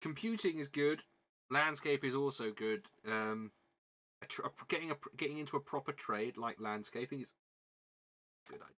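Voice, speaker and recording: A man with a southern British accent and a bright, forward voice at an ordinary male pitch. He talks as a livestream host, casually rambling to his viewers. His manner is self-deprecating, and he often repeats himself mid-thought. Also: moderate pace. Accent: southern British English. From southern England. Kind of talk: casual livestream chat while building a model kit.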